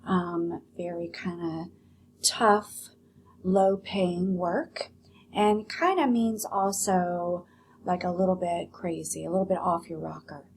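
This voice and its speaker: A woman teacher giving a read-aloud lesson, explaining and narrating a children's story in an expressive, instructive way. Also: fairly low pitch, slow pace, breathy edge.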